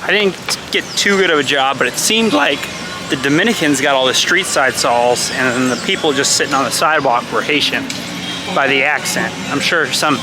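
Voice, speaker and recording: A man vlogging as he walks through a busy outdoor street market, talking casually with wry, offhand asides into a handheld camera. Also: medium pitch. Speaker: travel vlogger. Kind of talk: walking travel commentary.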